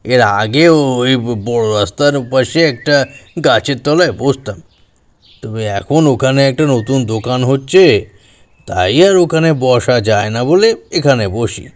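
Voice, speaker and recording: A man playing a humble, nervous umbrella repairman in an audio drama, speaking deferentially and haltingly. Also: mid-range voice, lively intonation. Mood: meek, explaining. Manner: hesitant, deferential, halting with drawn-out pauses. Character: humble, nervous street umbrella repairman recounting what he saw. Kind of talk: audio drama character acting. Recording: music behind the voice.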